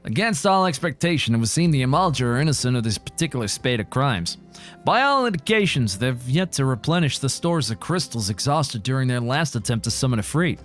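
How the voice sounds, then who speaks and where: A man voicing a composed, wry adventurer from a fantasy video game, reading in a measured, articulate, theatrical way. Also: medium pitch, big pitch swings, a bit bright, soft background music. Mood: pensive.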